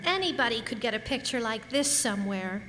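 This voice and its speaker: A woman's voice: fairly high pitch, expressive, a little fast, pushed and bright. A woman acting a prim, skeptical little girl in a radio play, blunt and accusing in manner. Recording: echoey hall, noisy live stage recording.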